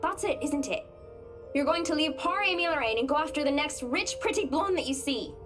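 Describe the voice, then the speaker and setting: Vengeful mirror ghost: high, needling, accusing, clingy, with clear music underneath. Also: female voice, expressive, a little fast. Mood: bitter. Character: bitter, possessive female ghost in a mirror. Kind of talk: visual novel game voice acting.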